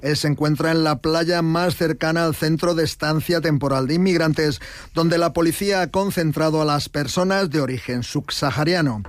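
A man delivering a recorded news report in a steady, informative tone. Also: on the high side, moderate pace, no echo.

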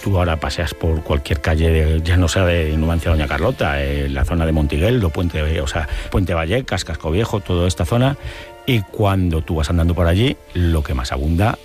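A middle-aged man talks earnestly and steadily, listing example after example. His voice sits fairly low, and faint music plays beneath it. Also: normal speed, a little mellow. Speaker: neighborhood association secretary. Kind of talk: interview testimony.

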